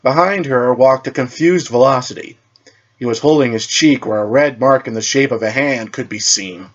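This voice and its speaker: A man's voice: mid-range voice, normal speed, dull audio. A man reading a superhero story aloud as narrator, often stumbling over words and rereading them.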